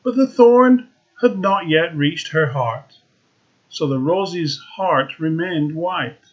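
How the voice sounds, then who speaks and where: Male fairy-tale reader, very unhurried, slightly high voice; dull lo-fi sound. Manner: slow, expressive, dramatic. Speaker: storyteller. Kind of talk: reading a fairy tale aloud.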